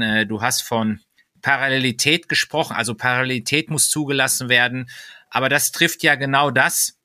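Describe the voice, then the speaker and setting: A man's voice: mid-range voice, pushed and bright, dry recording, studio quality. A man hosting a podcast interview, asking long, winding questions full of hesitations. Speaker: podcast host.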